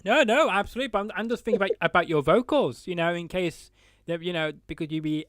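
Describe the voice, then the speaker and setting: Male host, casual questioner with frequent fillers; smooth, resonant tone, dry close recording. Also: sits high, quick pace, fairly bright tone, no room sound. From United Kingdom. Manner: friendly, lots of "uh" fillers while reading dates. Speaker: interview host.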